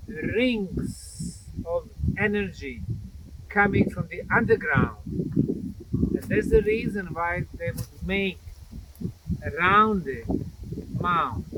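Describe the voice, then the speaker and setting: Slow-speaking man, higher-pitched. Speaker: presenter and researcher. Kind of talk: outdoor explanatory talk to camera. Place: outdoors at a grassy mound site. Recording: clear background noise, outdoor site recording.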